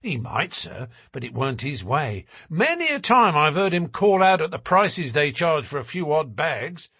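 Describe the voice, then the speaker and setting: Stout boatman's wife, played by a man: high, swooping delivery, garrulous and fretful, colloquial and deferential, for an audiobook. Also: sing-song, normal speed, sharp tone, husky, no echo, clean studio sound, exaggerated voice. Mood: worried. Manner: chatty and colloquial, deferential with frequent "sir". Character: working-class boatman's wife, stout, scolding, anxious, garrulous. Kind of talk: audiobook character voice.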